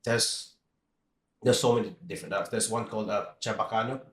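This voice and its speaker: A man in his thirties, from the Philippines, talking as a podcast guest in a relaxed, rambling way with many restarts. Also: fairly low pitch, a little fast, reverberant. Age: 30s. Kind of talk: casual interview chat.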